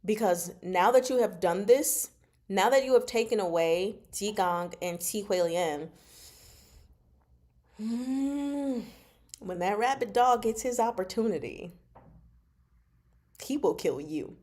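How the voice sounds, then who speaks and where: A woman with a mid-pitched voice chats casually as a livestream book commentator. Her delivery is expressive and emphatic, full of slang and playful teasing, as she talks directly to her viewers. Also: slow, pauses often. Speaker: host of a book discussion livestream. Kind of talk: casual chatty book commentary with live chat.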